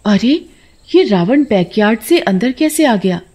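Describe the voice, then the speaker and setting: A woman's voice: on the high side, sing-song, a little slow, a little mellow, husky, voice pushed up, heavily acted. A woman voicing a frightened village housewife in a cartoon, with alarmed exclamations. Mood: startled fear.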